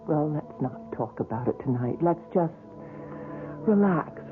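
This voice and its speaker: An actress playing a gentle woman who coaxes her troubled companion to open up, asking soft questions in a radio drama. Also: sits low, animated, unhurried. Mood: soothing. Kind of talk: 1970s radio drama acting. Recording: tinny old radio broadcast, faint music.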